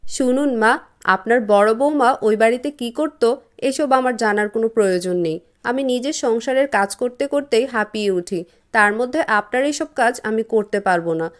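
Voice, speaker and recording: A woman performs a curt young cartoon housewife who resents her in-law. Her voice sits on the high side, with a brisk, scolding edge. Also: animated, close to an everyday voice. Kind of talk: cartoon voice acting.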